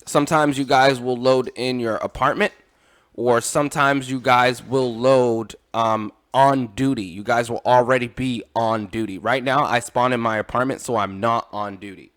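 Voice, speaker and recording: A man's voice: medium pitch, fairly even intonation, normal speed, a bit bright. A man casually walking viewers through game mods in an informal, instructive way, addressing them as guys. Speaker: gaming tutorial creator.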